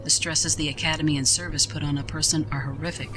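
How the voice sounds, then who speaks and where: A woman's voice: low voice, steady tone, quick pace, noisy background, close to an everyday voice. A woman playing an authoritative starship captain in a science fiction audio drama, with a commanding, formal manner. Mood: firm, concerned.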